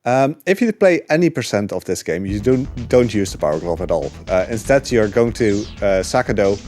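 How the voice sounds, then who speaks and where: Low-voiced man commentating a speedrun. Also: animated, moderate pace, a little mellow. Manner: chatty, with frequent uh fillers and laughs. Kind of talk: casual commentary while gaming. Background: game music.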